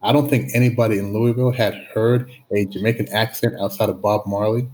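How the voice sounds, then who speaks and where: A middle-aged man with a slight lisp and a lowish voice, from Canada, talks about his past as an interview guest. He is reflective and often repeats and restarts words as he goes. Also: steady tone, fairly quick, darkish tone, poor audio. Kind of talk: conversational interview storytelling. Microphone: video call.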